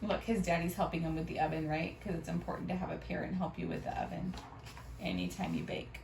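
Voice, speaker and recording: A woman reading a storybook aloud to children in an expressive, teacherly way. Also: lowish voice, fast talker, gravelly. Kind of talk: animated read-aloud with questions to children. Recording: roomy, distant mic, faint noise.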